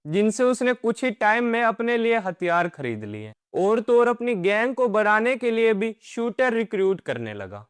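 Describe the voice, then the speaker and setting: A man reads a scripted explainer in a notably high-pitched voice. His tone is clear and resonant, recorded close and dry, and he builds each point like a dramatic story. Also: fairly bright tone, dry recording. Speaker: narrator of an explainer video. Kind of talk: scripted documentary-style narration.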